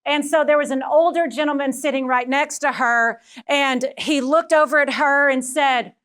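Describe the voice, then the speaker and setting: High-voiced Texan woman giving a keynote. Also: normal speed. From Texas. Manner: energetic, personal, talks directly to the audience. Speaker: keynote speaker. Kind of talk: motivational presentation to a live audience.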